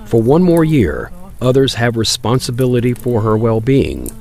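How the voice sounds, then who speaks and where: A man narrating a Victorian tale in a deliberate, theatrical storytelling style, broken into short dramatic phrases. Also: mid-range voice, sing-song, vocal fry, darkish tone.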